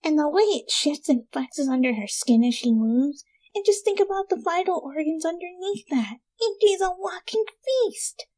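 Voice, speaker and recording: A woman's voice: very high-pitched, lively intonation, normal speed, dark tone, pitched above the performer's own voice, low-quality recording. A woman voicing a brash, hungry undead pegasus mare in a dramatic story reading, with a slangy, pushy, wheedling manner. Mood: hungry, musing.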